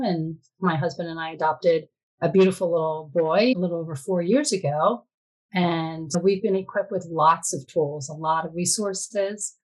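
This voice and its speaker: A woman's voice: fairly low pitch, poor audio. A woman giving personal testimony in an interview, speaking in an earnest, plain-spoken and encouraging way. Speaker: interviewee, adoptive mother.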